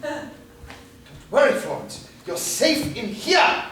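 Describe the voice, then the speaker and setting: A woman playing a hot-tempered, protective upper-class gentleman, declaiming in a staged reading of a period murder mystery. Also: high-pitched, very animated, slow pace, heavily acted. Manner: blustering, declamatory. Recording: echoey room, distant mic, noisy.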